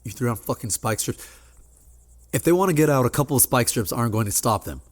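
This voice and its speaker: An actor playing a forceful, incredulous FBI agent taking command at a tense scene. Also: male voice, mid-range voice, expressive, quick pace, lightly acted. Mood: angry. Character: angry, determined male FBI agent. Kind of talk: web series drama acting.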